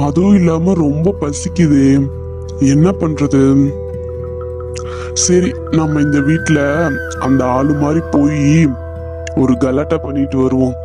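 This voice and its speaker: A man's voice: fairly high pitch, steady tone, slow pace, long pauses. A man voicing a bored, hungry male ghost in a cartoon story who is scheming to make mischief, with music playing behind. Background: background music.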